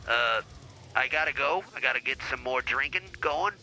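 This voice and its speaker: Bright-voiced, blustering boozy lawyer played by a man. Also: mid-range voice, moderate pace, a little hiss or hum, low-quality recording. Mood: brash, crude. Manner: pompous, blustering, foul-mouthed. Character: brash, boozy, crude male divorce lawyer. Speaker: guest on a comedy podcast. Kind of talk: improvised comedy sketch.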